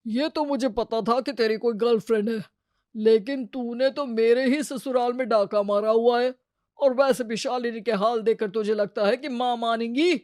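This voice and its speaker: An easygoing young husband, voiced by a woman in a cartoon, speaking in a coaxing, teasing way. The voice stays fairly high for a man and sounds dry. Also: steady tone, normal speed, a little mellow, no echo, natural voice.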